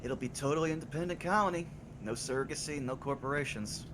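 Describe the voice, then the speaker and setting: A man's voice: mid-range voice, fast talker, background noise, close to an everyday voice. A man voicing a tired, hopeful young father who works the docks, talking earnestly and stumbling over his words. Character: weary hopeful young father, dock worker. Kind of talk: voicing a game character in a live read-along. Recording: music playing under the voice.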